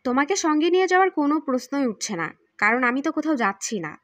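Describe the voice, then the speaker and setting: A woman voicing a calm, teasing older adventurer uncle in an audiobook, patient and bantering. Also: high-pitched, quick pace, no room sound. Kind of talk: audiobook character acting.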